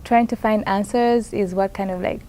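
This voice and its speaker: Mellow-voiced young woman from Zambia. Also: medium pitch, steady tone, moderate pace, a little hiss or hum. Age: young adult. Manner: hesitant, many fillers and restarts, soft-spoken. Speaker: master's student in plant science. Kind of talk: conference interview, casual answers.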